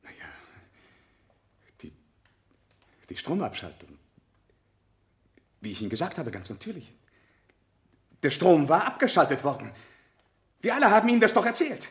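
A cornered defendant in a vintage radio play. His voice sits fairly high and swings widely in pitch, halting, evasive and agitated as he defends himself. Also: big pitch swings. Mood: desperate. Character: cornered male murder defendant, evasive and nervous. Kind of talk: 1970 German radio drama acting.

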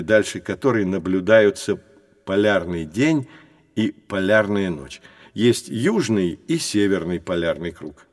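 An actor playing a patient, learned science teacher who explains things to children in a measured, didactic way for an educational audio drama. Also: male voice, lowish voice. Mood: patient, explanatory.

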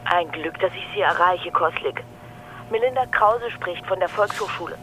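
A woman's voice: fairly low pitch, normal speed, noisy background. An actress playing an effusive, apologetic office woman talking over the telephone in a radio drama. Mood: apologetic, concerned. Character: chatty, solicitous adult education office woman on the phone.

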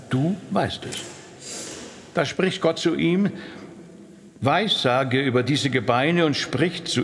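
Earnest rabbi, reverberant. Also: male voice, fairly high pitch. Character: harried, apologetic male rabbi who also preaches. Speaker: actor. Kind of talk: radio drama acting.

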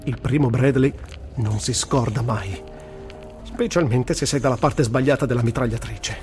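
A man's voice: mid-range voice, moderate pace, faint music behind, lightly acted. A man playing a sardonic, weary but daring Italian war journalist in a theatrical radio reading of a first-person diary. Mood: grave, vivid narrating. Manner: theatrical, swinging from muttering to shouting. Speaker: voice actor reciting.

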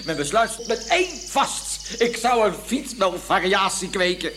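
An exasperated canary fanatic in an old radio comedy. His high voice lurches from muttering to shouting as he stammers, henpecked and agitated. The recording is very noisy, with birds chirping behind him. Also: big pitch swings, normal speed. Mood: earnest, musing. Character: obsessed, exasperated male canary breeder, excitable and henpecked. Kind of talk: Dutch comic radio play acting. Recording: noisy early 1980s radio play recording.

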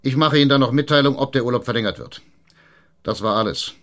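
A man playing a crude, cunning small-town mayor in a radio drama. He speaks with sardonic emphasis and commands everyone around him, in a mid-pitched male voice. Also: quick pace, a bit bright, natural voice. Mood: stern, interrogating. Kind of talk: German radio drama acting.

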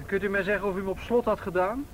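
An actor playing a brisk, sceptical policeman in a radio play, commanding and probing with dry sarcasm. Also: high voice, steady tone, natural voice. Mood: politely probing. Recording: faintly noisy old radio play recording.

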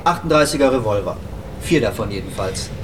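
Actor as a distant, deadpan crime briefer. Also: male voice, fairly low pitch, a little fast, lightly acted. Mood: matter-of-fact. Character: matter-of-fact deadpan man briefing a detective on a crime. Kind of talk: radio drama dialogue. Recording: distant mic, noisy ambience.

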